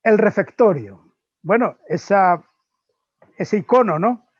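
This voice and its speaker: A middle-aged man with a Spanish accent, speaking a little higher than most men, gives a thoughtful spiritual lecture. He stops often to look for words and tags his points with small questions. The sound is dry and somewhat dull, as on a lo-fi recording. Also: expressive, slow, no room sound. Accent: Spain Spanish. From Spain. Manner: reflective, often adds "¿no?" and "eh" while searching for words. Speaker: Dominican friar giving a lecture. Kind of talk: spiritual conference talk.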